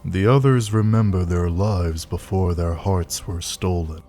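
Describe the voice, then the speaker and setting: Man playing cold villain, very deep, unhurried, formal archaic phrasing, detached, game parody, faint music behind. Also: fairly even intonation, warm tone. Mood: somber, detached. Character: cold, formal, heartless villain with a lingering will. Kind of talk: scripted animated game parody dialogue.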